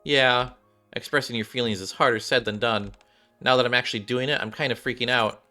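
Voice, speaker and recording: A man voicing a shy, earnest young android gamer girl from a game story, halting and heartfelt. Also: medium pitch, fairly even intonation, pushed and bright, faint music behind, pitched above the performer's own voice, lightly acted. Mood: nervous. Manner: halting, heartfelt, repeats and restarts.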